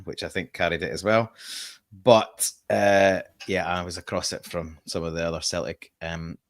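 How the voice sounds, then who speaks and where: A man's voice: low voice, fairly bright tone, no room sound, studio quality. A man from Australia, a guest on a football podcast, giving blunt opinions with frequent hesitations. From Perth, Australia. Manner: opinionated, hesitant with many ums. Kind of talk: casual football podcast chat.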